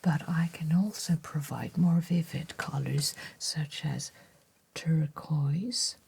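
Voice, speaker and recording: A woman playing a courteous, attentive letter writer in an ASMR roleplay, speaking in a soft, breathy whisper with gentle, formal deliberation. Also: deep voice, takes it slowly, full character voice.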